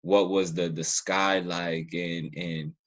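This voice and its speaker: Deep voiced guy doing a podcast interview, really laid out casual, informal, hunting for the right word. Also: little pitch movement, takes it slowly.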